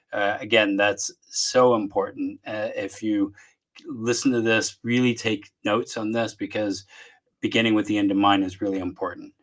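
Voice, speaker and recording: Rambling low-voiced Texan podcast host. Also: male voice. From Southeast Texas. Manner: relaxed, rambling, many fillers and restarts. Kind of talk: casual interview podcast. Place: home office.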